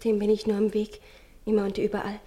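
An actress playing a clingy, jealous twelve-year-old girl in a 1950s German radio play, intense and breathy, often dropping to whispers. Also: mid-range voice, monotone, quick pace, mellow, close to an everyday voice. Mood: resentful, eager.

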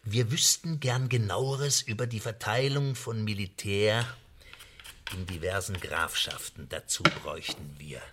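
Man playing smug french spy boss, lowish voice, taunting n fake friendly, radio drama. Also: unhurried, noisy background, lightly acted. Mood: coaxing. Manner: taunting, theatrical, swinging emphasis. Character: menacing French spymaster, smug, mock-friendly blackmailer. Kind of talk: radio play acting.

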